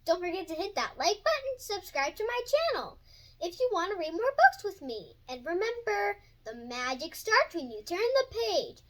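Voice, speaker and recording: A child host reading a picture book aloud for young listeners, addressing them directly in an excited way. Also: extremely high pitch, normal speed. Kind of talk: storybook read-aloud with enthusiastic channel intro and sign-off.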